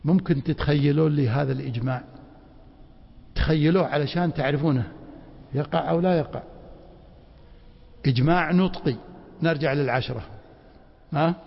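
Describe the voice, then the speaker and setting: Gravelly man teaching in a mosque. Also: fairly high pitch. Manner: quizzes the class with rhetorical prompts. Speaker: Islamic law teacher. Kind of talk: classroom lecture with questions to students. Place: mosque study circle. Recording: dull lo-fi recording in a reverberant hall.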